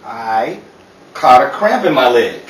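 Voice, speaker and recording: A man's voice: mid-range voice, very animated, slow pace. A man acting and announcing for a radio play troupe. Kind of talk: old-time radio announcing and rehearsal. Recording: echoey, distant mic, some noise and crowd.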